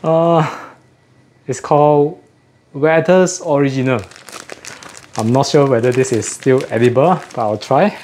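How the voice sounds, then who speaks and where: A man with a mid-pitched voice talks casually as a video host showing off a product. He repeats and rephrases as he goes. The recording has clear background noise. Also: measured pace, pauses often, a little mellow. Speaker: host of an audio gear channel. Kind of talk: casual unboxing chat.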